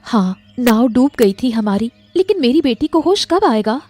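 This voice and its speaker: A woman's voice: a little high, very animated, moderate pace, dark tone, dry recording, faint music behind. A woman playing a worried, polite mother stranded and pleading earnestly for help in a storybook drama. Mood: anxious, pleading.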